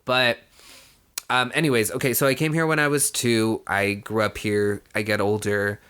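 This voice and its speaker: Young man, lowish voice, relaxed storyteller, talks off the cuff with filler words and the odd laugh. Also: normal speed, clear, resonant tone. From Mexico. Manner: conversational, frequent "you know", swears casually, laughs while talking. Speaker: vlogger. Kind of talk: casual personal storytelling to camera.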